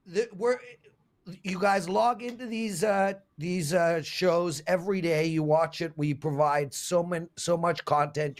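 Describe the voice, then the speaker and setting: A South African man hosts a sports betting show, talking in a lively, animated way. His voice sits high for a man, and he darts into quick asides before circling back to the point. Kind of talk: casual betting show chat.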